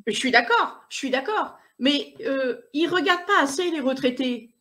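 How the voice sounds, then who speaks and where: High-voiced indignant woman. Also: expressive, moderate pace, a bit bright. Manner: repetitive restarts, indignant, insistent. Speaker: interview guest. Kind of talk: emphatic conversational interview.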